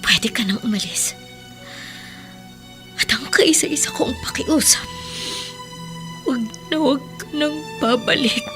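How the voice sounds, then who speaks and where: A woman's voice: sits high, unhurried, long pauses, music in the background. An actress playing a proud, wounded mother and former bride in a radio drama, reproachful and intense. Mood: defiant, hurt. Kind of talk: Tagalog radio drama acting.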